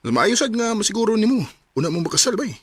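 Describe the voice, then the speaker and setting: An actor playing a blunt young buddy who sows doubt in a radio drama, with a Cebuano accent. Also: male voice, sits high, sing-song, fast talker, breathy edge, exaggerated voice. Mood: insistent, suspicious. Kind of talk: Filipino radio drama acting.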